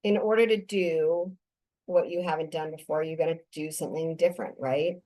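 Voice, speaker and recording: A woman's voice: low-pitched, steady tone, moderate pace, dark tone, poor audio. A woman hosting a casual podcast interview, talking in a conversational, affirming way. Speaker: podcast host.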